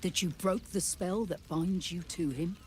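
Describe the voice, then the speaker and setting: Stern female hunter chieftain played by a woman, low voice, slow solemn commands, fantasy game, noisy ambience behind. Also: close to an everyday voice. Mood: stern, accusing. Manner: formal, grave, deliberate delivery. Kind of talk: video game dramatic acting.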